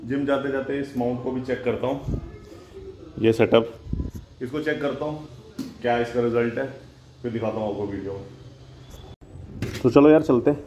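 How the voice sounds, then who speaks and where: A man's voice: medium pitch, warm tone, muffled recording, a little hiss or hum. A man vlogging casually as he rides a motorbike outdoors, rambling and thinking aloud, recorded on a phone mounted on the bike. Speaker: motovlogger. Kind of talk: casual vlog chat. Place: outdoors riding a motorbike on the road.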